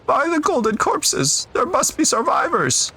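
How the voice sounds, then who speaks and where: Frantic, ragged villagers cry out in a strained, high voice, as a man puts them on. Their words come anguished and pleading. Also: huge pitch swings, unhurried, bright, forceful tone, full character voice. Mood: desperate. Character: desperate refugees, ragged and pleading.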